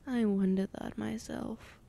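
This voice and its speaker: A woman's voice: mid-range voice, steady tone, unhurried, gravelly, warm tone. A woman playing a teasing, menacing female villain in an ASMR roleplay, whispering breathily with a taunting, condescending manner. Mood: teasing, smug.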